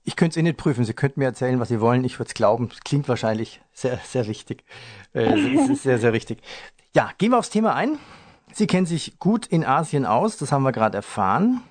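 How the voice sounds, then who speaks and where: A man hosting a finance podcast interview, with smooth, scripted moderation and light jokes. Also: mid-range voice, big pitch swings, fast, no echo. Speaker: radio host.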